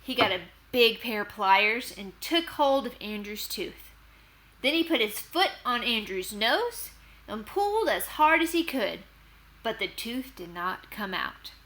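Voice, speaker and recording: Bright, high-voiced woman reading to children. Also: unhurried. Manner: expressive, clearly addressed to children. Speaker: storyteller and host. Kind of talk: children's read-aloud narration.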